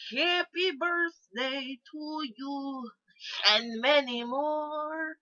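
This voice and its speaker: A blunt, indignant Russian man, played by a woman, speaks loudly and emphatically with a heavy, halting delivery. The voice is pitched up and bright, a comic prank-call character. The recording is slightly dull. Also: big pitch swings, exaggerated voice. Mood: solemn half-singing. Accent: Russian, put on. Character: Boris, blunt indignant Russian caller giving birthday wishes. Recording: dull, lo-fi sound.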